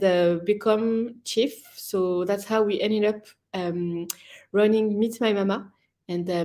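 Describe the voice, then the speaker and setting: A woman from France, a social enterprise founder, introducing herself on a video call panel, earnest and halting with uhs. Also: medium pitch, mellow.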